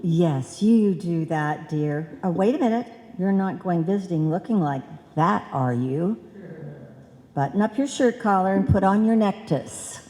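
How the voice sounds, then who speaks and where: Woman playing a scatterbrained wife, animated, echoey hall. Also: fairly low pitch, a little slow. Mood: scolding. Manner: muddled, malapropism-prone, animated. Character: scatterbrained, earnest wife who mangles words. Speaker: radio play actor. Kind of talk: live old-time radio comedy acting. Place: live stage in a hall. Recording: reverberant hall stage recording.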